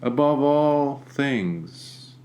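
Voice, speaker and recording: Slow-speaking man guiding a meditation. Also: medium pitch, lively intonation. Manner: gentle, deliberate, with long pauses. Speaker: meditation teacher. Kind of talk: guided meditation.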